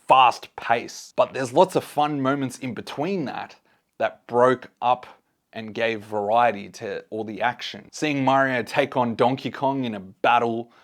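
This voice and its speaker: Man, medium pitch, casual review host, conversational, opinionated. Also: unhurried, fairly bright tone. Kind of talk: casual video movie review to camera.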